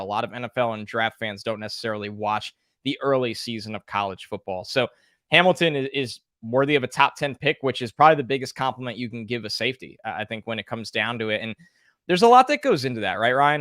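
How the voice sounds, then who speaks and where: A man speaks as a guest analyst in a conversational interview. He thinks aloud in an easygoing way, in a mid-pitched, bright voice. The sound is dry and close. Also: no echo. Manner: relaxed, thoughtful analysis with fillers.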